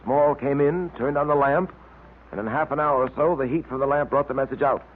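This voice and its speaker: A man's voice: mid-range voice, lightly acted. Tinny old-radio detective hero, played by a man. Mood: confident, explaining. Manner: brisk, confident, explanatory. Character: cool-headed, commanding male detective hero. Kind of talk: 1940s radio acting. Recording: tinny, faintly noisy vintage radio recording.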